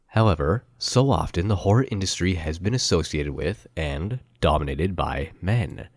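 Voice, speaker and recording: A man's voice: sits low, lively intonation, normal speed, no room sound. A man hosting a podcast, introducing and interviewing a guest. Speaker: podcast host.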